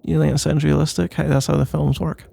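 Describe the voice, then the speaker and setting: A man's voice: on the high side, little pitch movement, fast, gravelly, mellow. A man doing a casual, rambling ASMR boyfriend roleplay in a playful, mock-boastful and meandering way.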